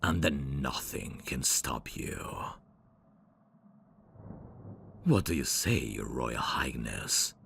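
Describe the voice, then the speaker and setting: A man playing a suave, devoted demon servant of hell's royalty, speaking formally and deliberately in an ASMR audio drama. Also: expressive, a bit bright, slight background noise, heavily acted. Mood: persuasive, reverent. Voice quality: gravelly, very deep. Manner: formal, courtly, slow and deliberate.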